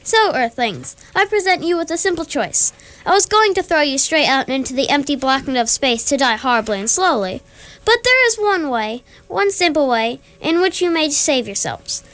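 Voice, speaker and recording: A young woman playing a menacing male alien captain in amateur radio theatre, pompous, deliberate and theatrical. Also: high voice, expressive, fairly bright tone.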